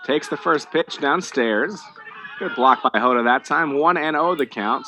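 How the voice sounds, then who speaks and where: An American man calling live baseball play-by-play, conversational between pitches and more animated on the action. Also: a little hiss or hum. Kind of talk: live sports commentary.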